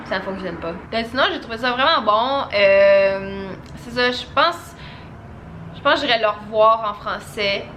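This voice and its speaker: A young woman with a Québécois French accent, chatting casually to camera as a vlogger and giving a relaxed film review. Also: mid-range voice, measured pace, slight background noise. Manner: chatty and animated, full of casual fillers.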